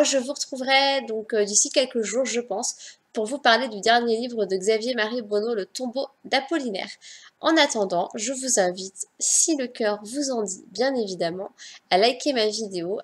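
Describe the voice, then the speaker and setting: A woman chatting directly to her viewers as she reviews a book on her channel, correcting herself as she goes. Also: on the high side. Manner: self-correcting, scattered. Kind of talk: casual book review vlog.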